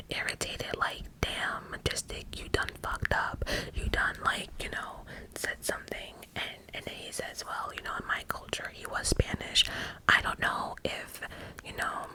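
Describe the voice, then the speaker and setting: A woman in her forties telling a frank, self-mocking story in a steady whisper, full of asides. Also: low-quality recording. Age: 40s. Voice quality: unvoiced whisper throughout. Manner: candid, frank, laughs at herself, many asides.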